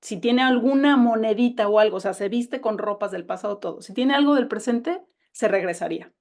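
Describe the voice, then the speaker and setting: A woman with a Mexican Spanish accent talks about her own novel in a relaxed, chatty way. She restarts her sentences often and keeps going with eager energy. Her voice comes through a video call. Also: on the high side, fairly quick. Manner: chatty, enthusiastic, many restarts. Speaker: novelist guest. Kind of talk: casual conversation over a call.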